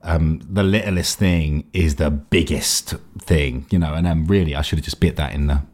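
Very deep-voiced man, talking candidly. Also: darkish tone. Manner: informal, halting, swears casually. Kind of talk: candid, casual personal conversation.

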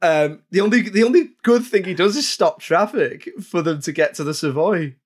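Higher-voiced man co-hosting a podcast. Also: normal speed. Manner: relaxed, conversational with restarts. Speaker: podcast co-host. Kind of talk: casual film chat.

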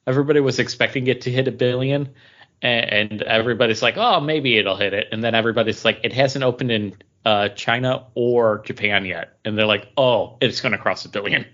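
A man co-hosting a podcast, talking through news casually and haltingly, often acting out imagined back-and-forth dialogue. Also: medium pitch, a bit bright. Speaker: podcast co-host. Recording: dull, lo-fi podcast audio.

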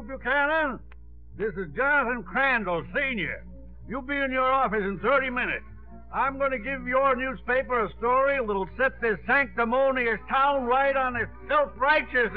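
A man's voice: extremely high pitch, lively intonation, measured pace. An actor playing an irascible, defiant old American grandfather in a blustery, blunt manner, in 1950s radio comedy style. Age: older. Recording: tinny vintage radio broadcast, faint music.

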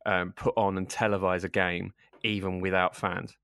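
A man's voice: low voice, little pitch movement, moderate pace, creaky, fairly bright tone, dry recording. A dry-witted man, chatting casually as a football podcast panellist.

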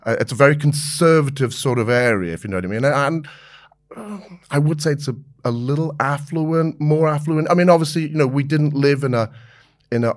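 Guy around 60, chatty interview guest, laughs a lot, keeps restarting his sentences. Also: mid-range voice. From born in South Africa, raised in the United Kingdom. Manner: friendly and open, laughs easily, with frequent fillers and restarts. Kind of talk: candid conversational interview.

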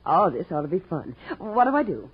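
A woman's voice: lowish voice, very animated, a little fast, breathy edge, heavily acted. An actress playing a playful woman, a detective's teasing companion, in a 1940s radio drama. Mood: eager, playful. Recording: dull old radio recording, background noise.

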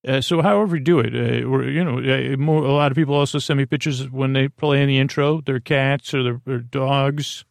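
A man with a creaky voice hosting a bedtime podcast in a halting, meandering, lulling improvised monologue. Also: mid-range voice, fairly even intonation, no echo, studio quality. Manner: meandering, halting, trails off into tangents; soothing, lulling. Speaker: podcast host.

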